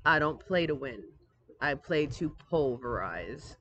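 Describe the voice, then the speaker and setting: A woman's voice: extremely low pitch, lively intonation, a little slow, fairly bright tone, lo-fi sound, pitched below the performer's own voice, exaggerated voice. A woman voicing a cocky, competitive boy with boastful emphasis in a storybook reading. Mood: menacing. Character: cocky, competitive boy, scheming older brother. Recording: outdoor ambient noise, device microphone.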